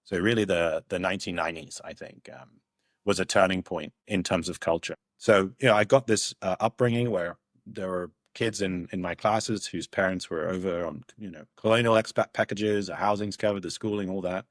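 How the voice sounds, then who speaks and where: A young man from Hong Kong with a low, deep voice. He tells stories as a podcast guest, reflective and rambling, and often circles back to restart his sentences. The sound is close and dry. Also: steady tone, normal speed, dry recording. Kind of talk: casual storytelling interview.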